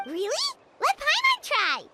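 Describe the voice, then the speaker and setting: A woman voicing a tiny, excitable, childlike fairy companion in an anime-style video game, in a cutesy, exclamatory manner. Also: very high voice, unhurried, heavy background noise, heavily acted. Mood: excitable, curious. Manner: third-person self-reference, exclamatory, cutesy.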